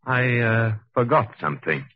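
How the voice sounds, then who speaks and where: An actor playing a sardonic, murderous husband in a 1940s radio drama, speaking with suave deliberation. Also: male voice, medium pitch, lively intonation, moderate pace, husky, no echo. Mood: sardonic, menacing. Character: coldly mocking murderous husband, narrating his own trial. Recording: muffled vintage radio recording, faint music.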